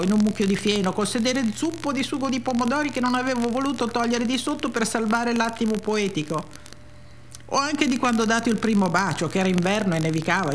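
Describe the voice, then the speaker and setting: A woman's voice: mid-range voice, slight background noise, lightly acted. A woman giving a dramatic reading as an ironic, scatterbrained woman who muses aloud and questions herself in a colloquial monologue. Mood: wry musing. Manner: musing, self-questioning, colloquial.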